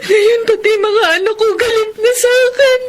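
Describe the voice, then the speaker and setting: A woman's voice: very high-pitched, fairly even intonation, normal speed, background noise. A woman playing a tearful, fearful mother. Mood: grieving. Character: frightened, grieving mother.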